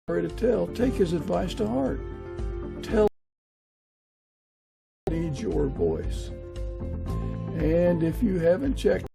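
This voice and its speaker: Guy hosting a podcast, raspy gravelly voice, kinda high pitched, talks slow and earnest. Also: big pitch swings, music in the background. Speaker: podcast host and interviewer.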